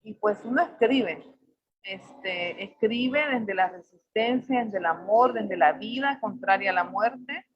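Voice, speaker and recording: A woman in her forties from El Salvador, with a Salvadoran accent tinged with Mexican, speaking as a poet on a video call. She talks thoughtfully, searching for words and filling pauses often. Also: mid-range voice, dull audio, a crowd in the background. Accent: Salvadoran Spanish mixed with Mexican. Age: 40s. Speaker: poet on a panel. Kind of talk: reflective personal talk.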